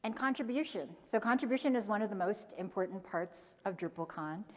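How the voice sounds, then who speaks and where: A woman's voice: medium pitch, lively intonation, brisk. A woman co-hosting a conference stage, reading upbeat announcements about the event. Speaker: event co-host. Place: large conference hall. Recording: long hall reverb, faint ambience.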